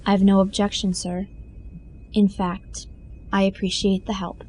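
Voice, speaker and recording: An actress playing a brisk female security chief and former marine who answers in crisp, dutiful replies. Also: medium pitch, level tone, mellow, lightly acted.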